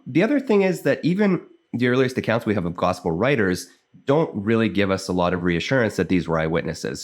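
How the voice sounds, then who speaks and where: A Canadian man in his thirties, a biblical scholar, talking earnestly to camera and thinking aloud as he explains. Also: low voice. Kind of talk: casual explanatory commentary to camera.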